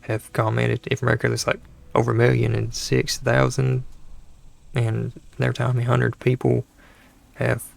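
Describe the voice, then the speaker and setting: A man hosting a gaming channel, talking casually over gameplay with a dry throat and a hoarse voice, rambling and exasperated, often restarting his sentences. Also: mid-range voice, monotone, normal speed, no echo. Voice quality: gravelly, creaky voice.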